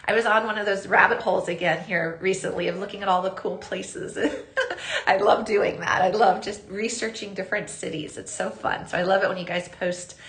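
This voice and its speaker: A woman's voice: medium pitch, steady tone, moderate pace, fairly bright tone. Sniffly woman hosting a live craft stream. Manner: chatty, greets viewers by name.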